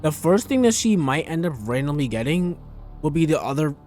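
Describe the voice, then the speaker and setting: Livestreamer, very expressive pitch, casual playful reactions, repeated phrases, faint music behind. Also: fairly quick. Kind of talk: casual gaming commentary.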